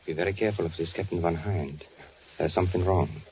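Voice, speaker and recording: An actor playing a careful undercover agent posing as a German army officer in a 1940s radio drama, speaking in a measured, guarded way. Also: male voice, deep voice, fairly even intonation, lightly acted. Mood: tense warning. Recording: dull, noisy 1940s radio recording.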